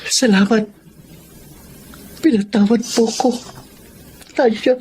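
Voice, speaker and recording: An actor playing a guilt-ridden man in a Filipino radio drama, halting and remorseful, with a Filipino accent. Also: very high-pitched, steady tone, a little mellow. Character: guilt-ridden man begging his former lover's forgiveness. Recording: faint background noise and ambience.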